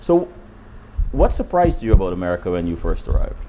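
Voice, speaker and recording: An older man hosting an interview, asking halting questions and often repeating his words. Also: low voice, big pitch swings, mellow, a little hiss or hum, poor audio. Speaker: interview host.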